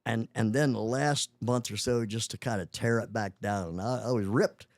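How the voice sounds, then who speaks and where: A man's voice: mid-range voice, normal speed, dry recording. A man in his eighties, a guest in a casual interview, talking with many restarts and chuckling often. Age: 80s.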